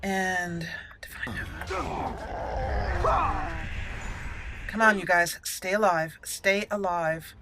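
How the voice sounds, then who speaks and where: A woman's voice: medium pitch, animated, very slow, gravelly, pauses often. A woman hosting a gaming channel, giving casual play-by-play and thinking aloud as she narrates each move. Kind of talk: casual gameplay commentary. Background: game music.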